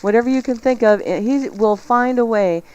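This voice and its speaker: A woman's voice: fairly high pitch, moderate pace, darkish tone, clear, resonant tone, no echo, highs cut off. A woman co-hosting a live radio call-in show, chatty with listeners. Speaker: radio co-host.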